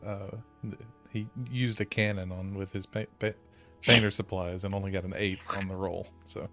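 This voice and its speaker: A man playing a tabletop game, casually explaining things in a halting way as he searches for words. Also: low-pitched, little pitch movement, fairly bright tone, soft background music. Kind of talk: casual chat, explaining character lore.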